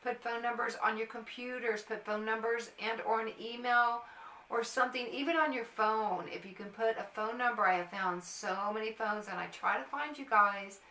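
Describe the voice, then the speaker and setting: Casting: middle-aged American woman, informal vlog-style advice giver, bright crisp-edged tone, recorded slightly off-mic. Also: a little high, moderate pace. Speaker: airport agent and vlogger. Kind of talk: casual advice to camera. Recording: roomy, echoey, distant mic.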